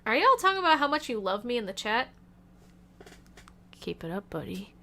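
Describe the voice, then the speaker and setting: A woman's voice: fairly high pitch, big pitch swings, moderate pace, a little hiss or hum. A young woman streaming a game, chatting casually and joking about herself to her viewers. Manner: chatty, joking, self-deprecating. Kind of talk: casual gaming stream chat. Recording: game music playing loudly under voice.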